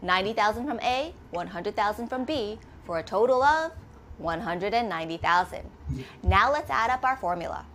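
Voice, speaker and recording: A woman's voice: mid-range voice, lively intonation, moderate pace, a bit bright, a little hiss or hum. A woman instructor narrating a scripted lesson step by step, methodically, posing questions and answering them herself. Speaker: accounting instructor.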